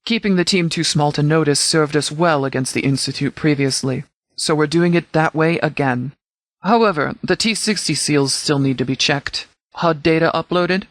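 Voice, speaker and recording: A man acting as a no-nonsense law-enforcement commander from a video game. His voice sits a little high for a man, and he speaks in formal, precise, measured military phrases. The recording is dry and close. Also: fairly bright tone, no room sound, close to an everyday voice. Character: formal, no-nonsense male sheriff's department commander. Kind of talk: scripted game dialogue, mission briefing.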